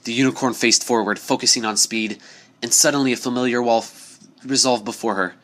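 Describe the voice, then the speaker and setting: A man's voice: mid-range voice, steady tone, a little fast, a bit bright. A man reading a scripted story aloud as narrator, in a dramatic storytelling style.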